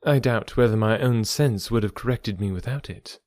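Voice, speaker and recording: A man's voice: on the low side, moderate pace, darkish tone, no room sound, clean studio sound, natural voice. A man voicing a witty, teasing young woman in audiobook dialogue, lifting his voice slightly for her.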